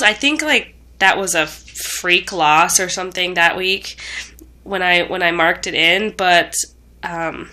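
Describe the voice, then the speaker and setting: A woman's voice: medium pitch, sharp tone. A young woman with a stuffed-up voice from a cold, rambling through a casual vlog update with lots of ums and self-corrections. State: tired. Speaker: vlogger.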